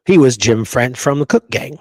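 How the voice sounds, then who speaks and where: Male history-show co-host, conversational but emphatic, mid-pitched, dry sound. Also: expressive, darkish tone, dry recording. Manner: emphatic, with drawn-out key phrases and rhetorical questions. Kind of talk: conversational debunking discussion of an old photograph.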